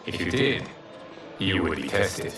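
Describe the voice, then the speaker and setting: A man voicing a grave, learned mentor in a video game drama, speaking in a measured, formal way. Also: low voice, very animated, a bit bright, exaggerated voice. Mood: solemn, explaining. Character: solemn, learned male mentor explaining realm history. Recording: heavily echoing, distant, music behind.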